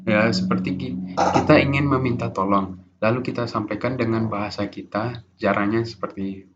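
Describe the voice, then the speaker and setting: A young man giving an informal class presentation as a student, halting often with restarts and fillers. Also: fairly low pitch, fairly even intonation. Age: young adult. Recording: dull lo-fi recording with faint noise.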